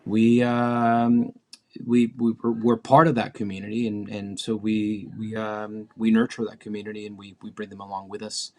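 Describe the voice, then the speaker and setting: A man's voice: lowish voice, completely flat delivery, normal speed, warm tone. Reflective man in a casual interview. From Mexico City, moved to Canada as a teenager. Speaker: musician, interview guest.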